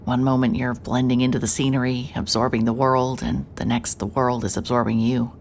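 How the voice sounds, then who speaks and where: A woman's voice: a bass voice, fairly even intonation, brisk, darkish tone, a little hiss or hum. A woman voicing a hard-hearted private investigator, narrating in a dry, hard-boiled inner monologue. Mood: guarded. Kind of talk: noir first-person narration.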